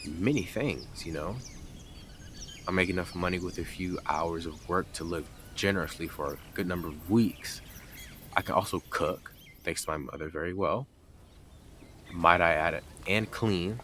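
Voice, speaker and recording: A man's voice: sits low, sharp tone, slight background noise. A man playing a blunt, sarcastic, teasing stalker who is devoted to the listener in an intimate roleplay audio drama. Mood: earnest, boastful.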